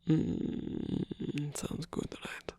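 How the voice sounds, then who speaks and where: A man playing a flirtatious, theatrical rock singer in an intimate ASMR romance roleplay, teasing and murmuring with breathy, husky, hesitant speech. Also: extremely slow, warm tone, no echo. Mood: relaxed, drowsy. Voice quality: breathy, husky, often whispered. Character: flirtatious theatrical male rock singer, tired, playfully indulgent lover.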